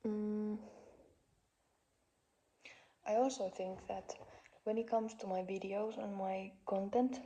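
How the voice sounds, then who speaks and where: A woman in her twenties with a Finnish accent, talking in a soft, halting, unscripted vlog monologue from a couch at home. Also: mid-range voice, steady tone, muffled recording. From Finland. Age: 20s. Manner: soft-spoken, halting, frequent fillers. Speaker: vlogger. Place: parents' living room couch.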